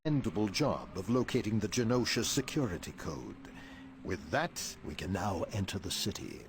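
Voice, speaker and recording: A man voicing a composed, commanding mutant leader in a video game cutscene, with formal, deliberate diction. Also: lowish voice, big pitch swings, slight background noise. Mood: grave, measured. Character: composed, commanding male mutant leader briefing his team.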